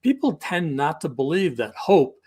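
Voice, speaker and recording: A man's voice: fairly high pitch, big pitch swings, moderate pace, dark tone, slightly rough. A middle-aged man talking as a couples coach on a live video call, explaining things conversationally with examples. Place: indoor room, video call.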